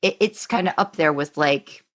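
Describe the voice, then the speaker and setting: A woman with a low-pitched voice talks as a podcast co-host. Her style is casual fan chat, and she lays out her thoughts in a measured, agreeable manner. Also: fairly bright tone.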